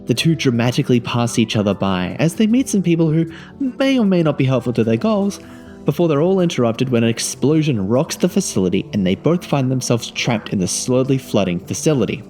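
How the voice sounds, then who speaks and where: A man's voice: medium pitch, big pitch swings, brisk, a little mellow, soft background music. A man reading a scripted video game review as narration.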